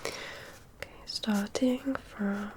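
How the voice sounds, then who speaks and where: A woman whispers softly and breathily in an ASMR medical exam roleplay, giving gentle, reassuring instructions as a doctor. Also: mid-range voice, steady tone, drawn-out words. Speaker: ASMR performer role-playing a doctor giving a hearing test.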